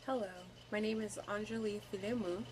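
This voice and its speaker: A woman's voice: mid-range voice, fairly even intonation, a little fast, noisy background. A woman in her thirties from California, introducing herself as an interviewee. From California, United States. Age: 30s. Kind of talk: self-introduction to camera.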